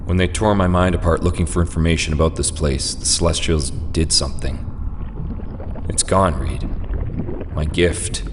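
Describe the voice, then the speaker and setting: A man voicing a grave, resolute scientist in a superhero comic dub, speaking in a solemn, measured way. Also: very deep voice, steady tone, noisy background. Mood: grave, pained. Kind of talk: dramatic comic dub voice acting. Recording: long hall reverb under loud music.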